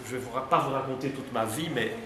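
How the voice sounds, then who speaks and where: A talkative man speaks as a guest at a school, answering questions in a loose, rambling way with many pauses and restarts. His voice sits at an ordinary pitch for a man. The sound is roomy and echoey, with steady background noise in the classroom. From Belgium. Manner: chatty, hesitant, many fillers and restarts. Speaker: visiting guest answering students. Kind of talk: relaxed spontaneous talk.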